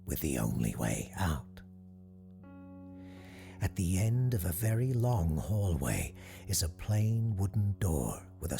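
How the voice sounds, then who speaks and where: Slow, low-voiced man narrating eerie tales. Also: vocal fry, warm tone. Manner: measured, deliberate, dramatic pauses. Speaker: host and narrator. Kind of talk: scripted eerie documentary-style narration.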